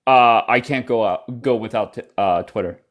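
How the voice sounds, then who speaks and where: A man hosting a casual livestream chat, blunt and quick to cut in on his guests. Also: mid-range voice, fairly bright tone. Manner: blunt, apologetic, talks over guests. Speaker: livestream host. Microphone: headphones in, at home.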